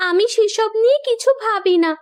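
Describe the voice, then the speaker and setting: A forgiving young married daughter from a cartoon family tale, acted by a woman. Her voice sits extremely high, and she speaks gently and reassuringly, with a cheerful temper. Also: brisk. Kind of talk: Bengali moral cartoon voice acting.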